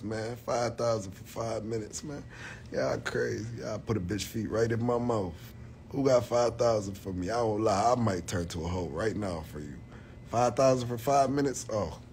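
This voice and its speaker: Brief: young man in his 20s, low-voiced, crude jokester chatting to viewers from bed, phone mic close, faint room hum behind. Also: darkish tone. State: tired, late at night.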